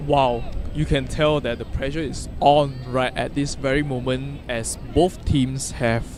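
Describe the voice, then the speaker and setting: A man doing live play-by-play commentary at an outdoor field, given to sudden loud exclamations. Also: mid-range voice, steady tone, a little slow, over music, a crowd in the background. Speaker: sports commentator.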